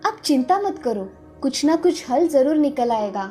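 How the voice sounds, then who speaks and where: A gentle, reassuring village mother acted by a woman in a cartoon tale. Her voice is high, with light music behind it. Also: very animated, unhurried. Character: kind, caring village wife and mother.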